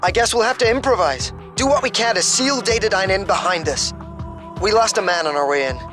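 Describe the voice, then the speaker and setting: A man's voice: sits high, animated, a little fast. A man playing a no-nonsense rescue commando in a video game, talking in clipped military phrases. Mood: urgent, businesslike. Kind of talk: video game cutscene acting. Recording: music behind.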